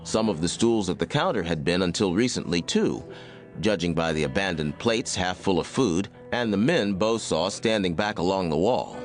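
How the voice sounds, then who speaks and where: American guy reading a western audiobook, lowish voice, very expressive, soft music behind. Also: moderate pace. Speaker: audiobook narrator. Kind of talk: scripted Western story narration.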